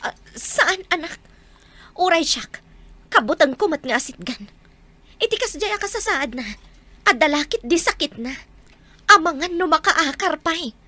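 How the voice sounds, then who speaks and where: A woman's voice: extremely high pitch, expressive, unhurried, pauses often, sharp tone, full character voice. An Ilocano woman playing an anxious, protective mother in an audio drama. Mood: uneasy, hushed.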